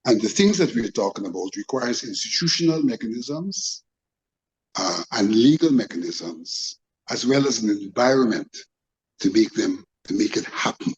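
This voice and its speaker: A man with a Guyanese accent speaking as a panel guest, in formal, deliberate phrasing during a political discussion. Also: lowish voice, animated, darkish tone, poor audio.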